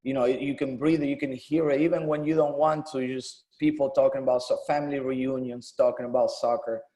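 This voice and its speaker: A man in his thirties from Argentina, a former player and coach, telling his story in a relaxed, conversational interview with frequent "um"s. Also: medium pitch, brisk. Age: 30s. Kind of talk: casual interview.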